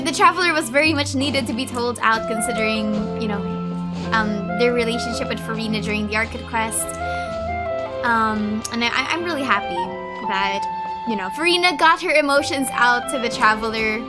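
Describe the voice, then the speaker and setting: A woman hosting a gameplay video, giving casual, effusive reactions and often repeating her phrases. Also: fairly high pitch. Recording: game music under the voice.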